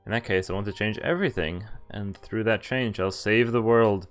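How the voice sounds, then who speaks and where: A man streaming a game, reading a visual novel aloud in expressive first person with casual, offhand asides. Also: fairly low pitch, moderate pace. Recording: music behind the voice. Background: game music.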